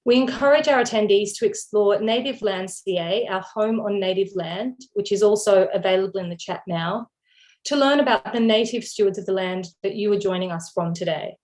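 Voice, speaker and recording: A woman's voice: medium pitch, brisk, low-quality recording. Australian-raised woman reading formal welcome. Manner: steady, formal reading of prepared remarks. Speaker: event host. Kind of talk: scripted event welcome and introduction. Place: online video event.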